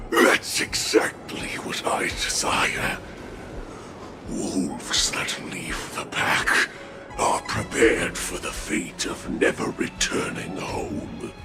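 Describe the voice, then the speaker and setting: A man voicing a defiant, mocking wolfman warrior in a video game, with a gravelly, menacing growl. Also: fairly low pitch, wildly swooping pitch, drawn-out words, exaggerated voice. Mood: grimly satisfied. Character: defiant savage wolfman warrior, captured and taunting. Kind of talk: dramatic video game voice acting. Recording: loud game music underneath.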